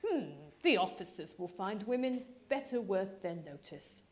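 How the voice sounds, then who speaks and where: An unruffled middle-aged gentleman father, acted out by a woman reader. He speaks in a measured, dryly teasing way, fond and wry, as in a storybook read aloud. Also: mid-range voice, lively intonation, close to an everyday voice. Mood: wry, placating. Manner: dry, teasing, measured, throat-clearing.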